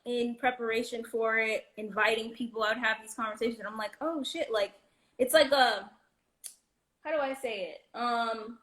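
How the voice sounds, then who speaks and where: A woman's voice: fairly high pitch, steady tone, normal speed, a bit bright, rough recording. A woman in her late twenties talking as a guest in casual chat, loose and heartfelt with many likes and restarts. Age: 20s.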